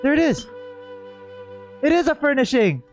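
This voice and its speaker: A man giving a casual, expressive livestream reaction to a game, often repeating words for emphasis. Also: extremely high pitch, moderate pace, soft background music. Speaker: gaming streamer.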